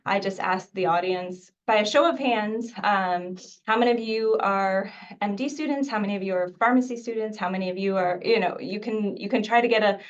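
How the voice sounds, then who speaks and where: A middle-aged woman from Mexico whose first language was Spanish, a physician giving a conversational, earnest webinar talk with frequent fillers. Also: medium pitch. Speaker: infectious disease physician and mentor. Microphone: video call microphone. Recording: dull, distant video call audio.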